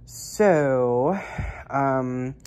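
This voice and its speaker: A woman's voice: rumbling low, extremely slow, dark tone, smooth. A young woman, slightly congested and recovering from illness, chatting casually to camera as a cross-stitch vlogger, rambling with many fillers.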